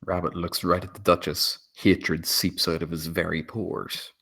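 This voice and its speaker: A man's voice: sits low, level tone, measured pace, gravelly, pushed and bright. A man narrating stage directions in a dramatic screenplay table read.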